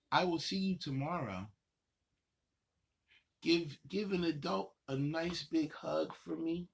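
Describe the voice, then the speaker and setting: Gravelly, husky man hosting for kids. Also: a little high, fairly bright tone. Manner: encouraging, reassuring, simple repetitive phrasing. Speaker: host of a children's story time show. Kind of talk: addressing young children directly.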